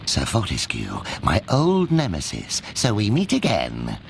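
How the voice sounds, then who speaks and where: A man's voice: on the low side, wildly swooping pitch, unhurried, gravelly, slight background noise, full character voice. An actor playing a gloating evil sorcerer who mocks his old enemy in a video game cutscene. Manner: sneering, mocking.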